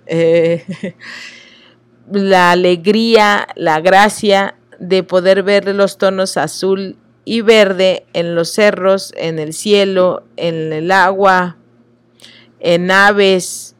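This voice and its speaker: A woman's voice: lowish voice, steady tone, extremely slow, clean tone, no room sound. A woman from Oaxaca, reading texts aloud and stopping for earnest, apologetic asides when she stumbles over unfamiliar words. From Oaxaca, Mexico.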